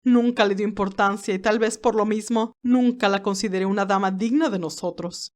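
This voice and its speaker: A woman's voice: medium pitch, steady tone, normal speed, natural voice. A woman playing a stern, haughty elderly aristocratic great-aunt who tells her story formally and dramatically, with anguish and resentment. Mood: bitter, disdainful. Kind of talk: first-person dramatized narration.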